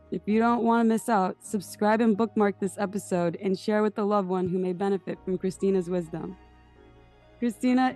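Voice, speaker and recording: A woman's voice: medium pitch, warm tone. A woman hosting an interview podcast, reading introductions and asking questions. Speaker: podcast host.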